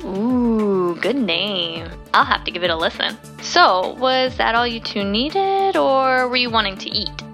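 An actress playing a friendly, chatty young diner waitress in an audio drama, with an informal, chirpy manner. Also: female voice, fairly high pitch, lively intonation, measured pace, hardly any pauses, a bit bright, faint music behind. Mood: friendly, curious. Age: young adult.

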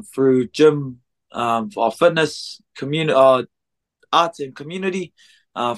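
A man in his twenties with a New Zealand accent, a podcast guest talking casually and haltingly with many ums, recorded in a music studio. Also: medium pitch, fairly bright tone. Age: 20s. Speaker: guest, gym co-founder. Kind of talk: casual interview chat.

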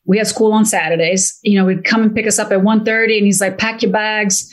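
A woman in her fifties, originally from Italy, speaks as a guest on an interview show. She talks with bright enthusiasm, rushing ahead and doubling back on her words. Her pitch sits in a comfortable middle range. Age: 50s. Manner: enthusiastic, quick, repeats words when excited. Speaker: guest wealth advisor. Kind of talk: casual interview chat.

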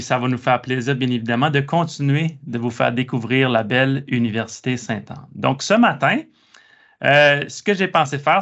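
A man's voice: mid-range voice, fairly bright tone. A man hosting a university podcast, friendly and hesitant, with many fillers. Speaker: podcast host. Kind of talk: casual interview. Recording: dull lo-fi sound.

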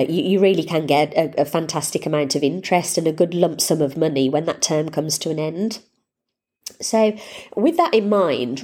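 A woman's voice: fairly low pitch, a little mellow. Bunged-up chatty woman podcast host. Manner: chatty, upbeat, rambling with fillers. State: congested with a cold. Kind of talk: casual solo podcast chat.

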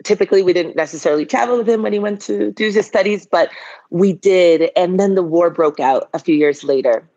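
A woman in her forties from Liberia, a guest telling her story in a candid, lively way. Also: lowish voice, brisk. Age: 40s. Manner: lively, candid, laughs often. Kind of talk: casual interview storytelling. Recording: dull lo-fi audio.

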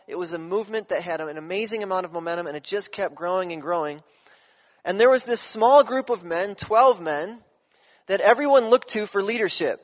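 A pastor preaching a sermon in a relaxed, conversational way, often checking in with his listeners. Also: high-pitched, long reverb tail.

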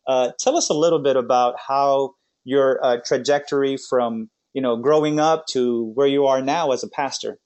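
A man's voice: mid-range voice, steady tone, moderate pace, darkish tone. A man hosting a faith podcast, interviewing a guest in a rambling style with many restarts. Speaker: podcast host.

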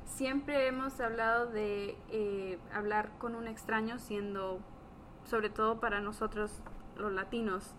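A woman in a casual discussion, explaining earnestly as a co-host and pausing between phrases. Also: medium pitch, steady tone, a bit bright, slight background noise, low-quality recording. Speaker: therapist, podcast co-host.

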